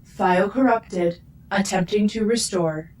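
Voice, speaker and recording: Woman doing a mechanical female ship computer, slow deliberate words, clipped announcements, noisy distant recording. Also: mid-range voice, lightly acted. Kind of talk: fan audio drama acting.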